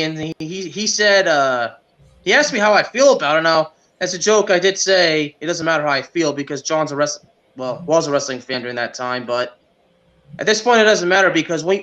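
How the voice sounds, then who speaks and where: A man co-hosting a sports podcast in casual chat, haltingly repeating words as he goes. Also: a little high, fairly bright tone.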